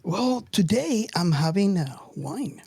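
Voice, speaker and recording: A man's voice: sits high, sing-song, takes it slowly, creaky, warm tone, breathy edge, no echo. A man hosting a podcast in casual chat. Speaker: podcast host.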